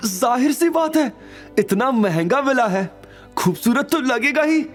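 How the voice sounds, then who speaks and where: Man voicing an innocent, high-pitched young woman. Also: expressive, unhurried, soft background music. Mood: excited. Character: young woman, innocent, excitable, shy but cheeky.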